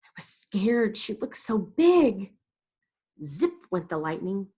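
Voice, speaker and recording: Expressive woman reading aloud, slightly husky. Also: medium pitch, takes it slowly. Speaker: storyteller reading a picture book aloud to children. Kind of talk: expressive read-aloud narration.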